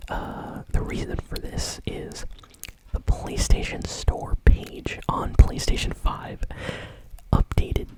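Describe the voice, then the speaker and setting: A woman hosting gaming news in an ASMR style, speaking in a soft breathy whisper with a casual, chatty, unhurried way of explaining. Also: rough recording.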